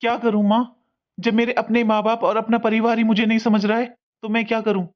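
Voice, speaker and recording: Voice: mid-range voice, level tone, brisk, natural voice. An actor playing a defiant young newlywed son in a cartoon family melodrama, earnest, firm and insistent. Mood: hurt, frustrated. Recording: dull lo-fi sound.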